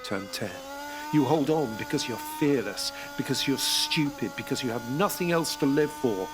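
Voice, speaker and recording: A man's voice: mid-range voice, lively intonation, moderate pace, background noise, close to an everyday voice. A middle-aged man voicing a veteran male racing driver, speaking a terse, clipped inner monologue in an audio drama with music behind him. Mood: brooding. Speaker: narrator and lead character.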